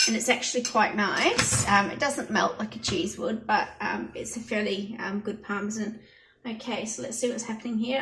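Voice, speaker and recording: Hoarse woman in an echoey kitchen. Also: on the high side, fairly bright tone, a little hiss or hum. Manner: chatty, plain step-by-step explaining. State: hoarse, losing her voice. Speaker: home cooking channel host. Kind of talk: casual cooking demonstration. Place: home kitchen. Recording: roomy kitchen audio from a distance.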